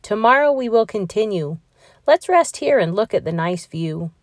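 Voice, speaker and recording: Woman voicing a jolly, animated storybook hippo. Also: mid-range voice, normal speed, dry recording, clean studio sound, close to an everyday voice. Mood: encouraging. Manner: playful, hearty, exclamatory. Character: big jolly, friendly male hippopotamus.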